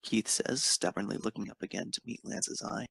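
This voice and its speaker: Very gravelly, husky male narrator. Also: lowish voice, steady tone, normal speed, fairly bright tone, dry recording. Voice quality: creaky, husky. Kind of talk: expressive narration of romantic fan fiction.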